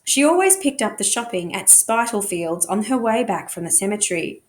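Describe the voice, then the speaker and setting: A woman's voice: mid-range voice, animated, normal speed. A woman narrating a novel expressively, as a teacher reading aloud to students.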